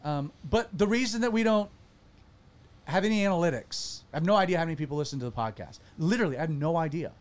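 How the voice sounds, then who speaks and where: A pastor hosting a casual panel podcast, animated and self-deprecating. His voice sits fairly high for a man. He often trips and restarts mid-sentence. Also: quick pace.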